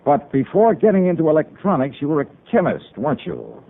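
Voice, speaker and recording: An American man playing a sharp, confident freelance insurance investigator in 1950s radio drama, with an insistent, wry, emphatic delivery. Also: a little high, very animated, brisk. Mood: pressing, accusing. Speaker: star of the radio series. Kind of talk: 1950s radio detective acting. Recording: tinny, band-limited old radio broadcast.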